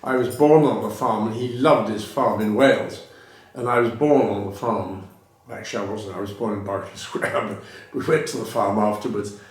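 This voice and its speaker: A man's voice: lowish voice, normal speed, heavy reverb, distant mic, low-quality recording. An older British man rambling through memories in a casual interview, correcting himself and laughing between anecdotes. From Britain.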